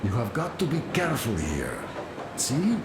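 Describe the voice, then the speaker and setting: An actor playing a patient, gently strict man teaching handiwork in a game, with an instructive manner. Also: mid-range voice, wildly swooping pitch. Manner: slow, instructive. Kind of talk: game cutscene dialogue. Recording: echoey, noisy ambience.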